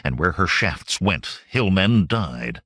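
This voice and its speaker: A man's voice: deep voice, sing-song, slow pace, pushed and bright, no echo, studio quality. A man reading fantasy adventure fiction as a dramatic audiobook narrator.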